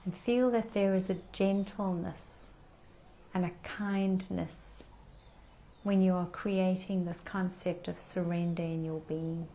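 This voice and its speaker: A woman leading a guided meditation in a gentle, soothing manner with unhurried pauses. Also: lowish voice, fairly even intonation, low-quality recording.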